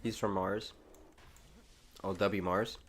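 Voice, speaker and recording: A young man from the United States hosts a live game stream, talking in a loose, slangy ramble full of restarts as he answers chat. His voice sits a little low, and keyboard typing can be heard behind him. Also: little pitch movement, slow, a bit bright, slight background noise. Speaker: livestreamer. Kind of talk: casual live gameplay chat.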